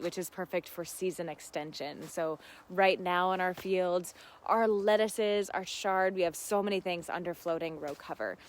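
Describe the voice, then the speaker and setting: An American woman from Ohio hosting a casual live gardening Q&A, answering viewers in an effusive, exclamatory, giggly manner. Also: on the low side. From Ohio, United States. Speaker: host of a live gardening Q&A, seed company owner.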